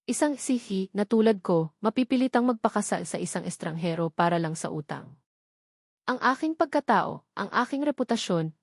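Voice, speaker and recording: A woman narrates a scripted first-person love story in an emotive, melodramatic way with deliberate phrasing. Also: medium pitch, a little mellow, no echo, clean studio sound. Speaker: audio drama narrator.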